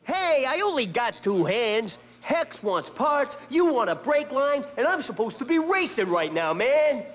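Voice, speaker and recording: An actor playing an excitable young male bike painter in a game, talking in casual slang. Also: extremely high pitch, a little slow, full character voice. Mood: harried. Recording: noisy, ambient sound behind.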